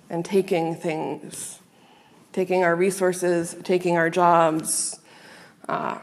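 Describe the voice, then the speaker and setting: A woman minister giving a sermon to a congregation, speaking in a measured, earnest and reflective way with pauses. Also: fairly low pitch, darkish tone. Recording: long reverb of a large hall.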